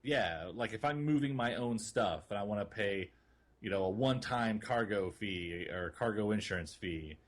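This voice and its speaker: A man co-hosting a gaming podcast in casual conversation, explaining things in a rambling, halting way. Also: on the low side, lively intonation. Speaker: podcast co-host. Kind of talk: casual chat about a video game.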